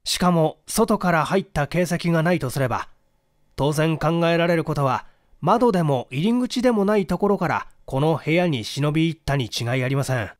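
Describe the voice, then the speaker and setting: A man's voice: on the high side, expressive, normal speed, dry recording, clean studio sound, natural voice. Man reading as an eager, courteous private detective. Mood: probing, reasoning. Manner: very deferential, formal, earnest. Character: polite, eager male private detective with heavy moustache. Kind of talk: audiobook character dialogue.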